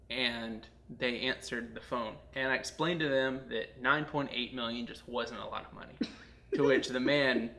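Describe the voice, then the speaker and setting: A man's voice: medium pitch, bright, forceful tone. A man hosting a comedy show, talking in casual banter and prank-call style with a mock-earnest manner.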